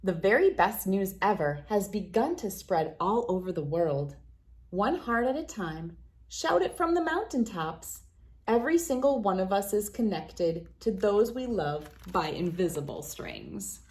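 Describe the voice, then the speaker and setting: A woman hosts a read-aloud of a picture book for children. Her voice sits at a medium pitch. She phrases the story deliberately and expressively, as a storyteller does. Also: expressive, darkish tone.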